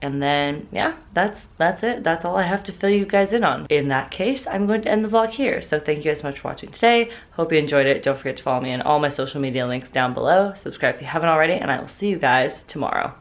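A woman's voice: low voice, animated, fast talker, few pauses. A woman in her twenties chatting casually to a handheld camera at arm's length as she vlogs her day. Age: 20s. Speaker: daily vlogger. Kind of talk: casual vlog chat to camera. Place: handheld vlogging in streets, shops and rooms at home. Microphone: camera held at arm's length. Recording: clear music behind the voice.

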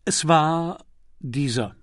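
An audiobook gentleman detective played by a man, aristocratic and breezy. He teases and exclaims playfully, in a raised voice recorded very dry. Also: on the high side, very slow, dry recording. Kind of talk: audiobook character acting.

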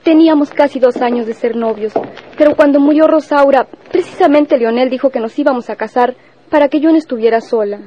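Young Mexican widow played by a woman, high voice, proud and wounded, for radio melodrama. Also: a little fast, warm tone, highs cut off, slight background noise, close to an everyday voice. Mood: sad, wistful. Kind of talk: melodramatic radio serial acting.

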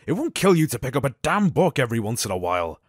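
An actor playing a cultured, commanding gang boss in an audio drama, speaking in a measured, formal, theatrical way. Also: male voice, mid-range voice, very animated, husky, no echo, studio quality. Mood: smugly pleased.

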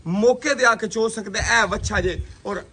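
Male farm-sale host, high and bright, persuasive patter, faint noise behind. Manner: brisk, persuasive seller's patter, repeating prices and praise. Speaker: livestock sale video host. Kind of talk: casual sales commentary. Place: outdoor dairy farmyard.

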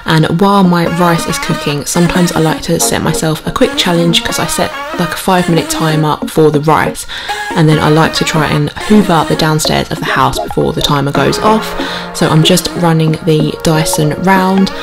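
A woman's voice: low-pitched, steady tone, moderate pace, gravelly. A British woman in her thirties giving a casual, chatty voice-over as a home vlogger. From United Kingdom. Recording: voice-over with music behind. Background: background music.

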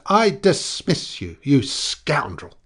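A man's voice: low-pitched, steady tone, moderate pace, close to an everyday voice. A stuffy colonial station chief from a storybook, acted out by a man reading aloud. He is anxious and indignant, holding on to a stiff composure as he strains for dignity. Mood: panicked anger. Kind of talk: dramatic storybook character reading.

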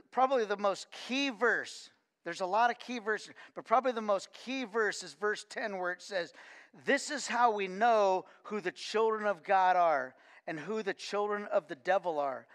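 High-voiced older pastor in a church hall. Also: male voice, a bit bright. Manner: earnest, repeats words when stressing a point. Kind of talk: sermon with scripture reading. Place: church stage with lectern.

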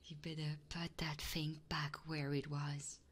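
A woman streaming ASMR, chatting in whispers and soft murmurs close to the microphone. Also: sits low, flat delivery, unhurried.

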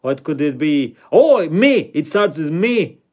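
A man talks to his stream chat from a room at home, close to the mic. His voice sits a bit high, and he teases himself and his viewers in loose, playful chatter between rounds of a word game. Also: big pitch swings, takes it slowly, a little mellow. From Latvia. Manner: playful, self-mocking, reads out chat names and rankings. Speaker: livestream host. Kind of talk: casual live-stream chatter while playing a word game.

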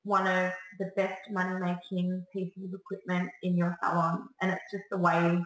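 A woman's voice: fairly low pitch, completely flat delivery, normal speed. A woman in her thirties, a salon owner, giving chatty, upbeat business tips straight to a webcam in a small salon room. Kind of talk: direct-to-camera business advice. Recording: echoey room.

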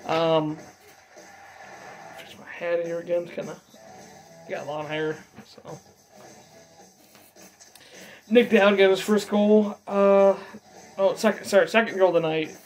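A young man giving a casual game recap from notes, speaking haltingly and stumbling over names and figures. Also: sits high, fairly even intonation, a little mellow, soft background music. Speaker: hockey fan vlogger. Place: dim bedroom, seated at desk.

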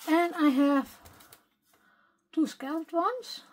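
A Dutch woman speaking English, her voice weak and partly gone, softly thinking aloud as she works through a craft tutorial at her desk. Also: extremely high pitch, lively intonation. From Netherlands. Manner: soft-spoken, unhurried, talks to herself while working. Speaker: craft video maker. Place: craft desk at home, filmed from overhead.

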